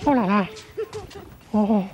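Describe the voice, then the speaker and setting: A man voicing a big, friendly, childlike male monster from a children's TV show, in a high, goofy voice. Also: slow pace, darkish tone. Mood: alarmed. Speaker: children's TV puppet character. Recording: noisy old TV recording.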